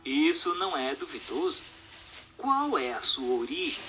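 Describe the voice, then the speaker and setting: Bright, animated male Brazilian narrator. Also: fairly high pitch, unhurried, soft background music. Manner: formal, didactic, expository. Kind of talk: scripted narration, reading a spiritist text aloud.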